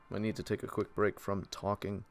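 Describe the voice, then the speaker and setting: A man streaming a game, hoarse and losing his voice, chatting casually and answering his viewers. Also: lowish voice, level tone, normal speed, soft background music. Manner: reads chat aloud and answers viewers. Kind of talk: casual live-stream commentary.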